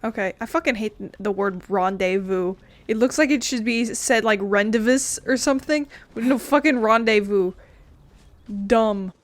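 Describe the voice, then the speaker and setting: High-voiced woman doing casual gameplay commentary. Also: normal speed. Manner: informal, sweary, playful. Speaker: gaming video host.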